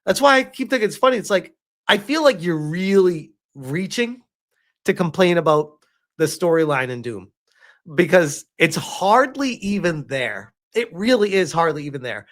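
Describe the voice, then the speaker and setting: A man's voice: high voice, sing-song, normal speed, fairly bright tone. A man streaming casual commentary, reacting to what he reads and chatting with viewers in an exasperated, sarcastic way, prone to chuckling and swearing. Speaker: streamer reading and reacting to a game review with live chat.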